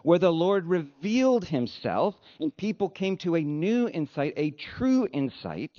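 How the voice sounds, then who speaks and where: A male pastor delivers a sermon in a fairly high voice that swings widely in pitch. His phrasing is deliberate and emphatic, with a dryly humorous streak. The recording sounds slightly dull and lo-fi. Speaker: pastor preaching.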